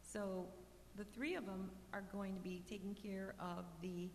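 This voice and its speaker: A woman's voice: medium pitch, fairly even intonation, a little slow. Plainspoken woman manager in a reverberant hall. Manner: plainspoken, frequent restarts and fillers. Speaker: community park manager answering residents. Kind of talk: casual meeting talk. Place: community meeting hall. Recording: reverberant hall with faint hum.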